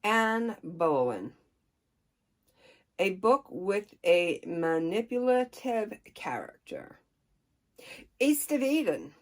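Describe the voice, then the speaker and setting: A middle-aged woman chatting casually to camera about books, unhurried and folksy, with a playful, expressive way of talking. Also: mid-range voice. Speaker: book reviewer on a personal channel.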